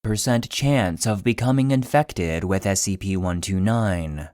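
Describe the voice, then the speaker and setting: Male podcast narrator: unhurried, serious, clinical delivery of scripted documents, dry studio sound. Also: low-pitched, darkish tone, no echo. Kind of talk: scripted narration of fictional clinical case file.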